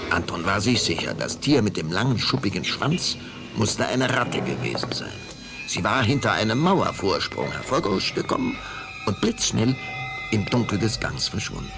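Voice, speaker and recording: A man narrating a children's audio drama in a measured, suspenseful storytelling style. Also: fairly low pitch, big pitch swings. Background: clear background music.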